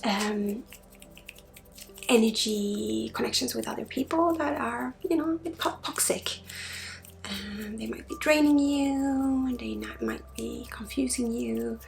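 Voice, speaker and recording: A woman from Sweden in soft-spoken ASMR as a spiritual energy healer, drifting between a murmur and a breathy whisper. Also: fairly high pitch, lively intonation, a little slow. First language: Swedish.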